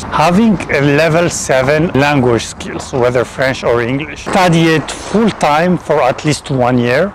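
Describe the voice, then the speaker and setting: Male, 30s, from Tunisia, slightly raised pitch, lapel mic outdoors, light city hum. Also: low-quality recording. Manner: non-native English with small grammar slips, conversational. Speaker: immigration vlogger. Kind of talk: direct-to-camera explainer.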